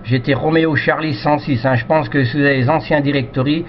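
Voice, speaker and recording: A man's voice: a little high, fairly even intonation, normal speed. A man chatting casually over amateur radio in a polite, hesitant, rambling way, often repeating himself. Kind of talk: casual radio contact chat. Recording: dull lo-fi radio audio with noise.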